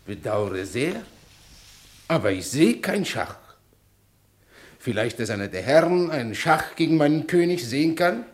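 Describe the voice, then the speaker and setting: A man's voice: a little high, animated, measured pace, long pauses. A man playing a cold, arrogant chess champion in a radio drama, formal, pedantic and condescending. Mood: smug, mocking.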